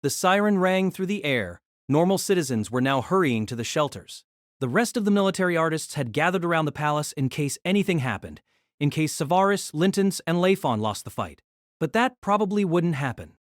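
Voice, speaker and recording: A man's voice: fairly high pitch, lively intonation, brisk, no room sound, clean studio sound. A man narrating a fantasy novel as a dramatic, scripted audio drama.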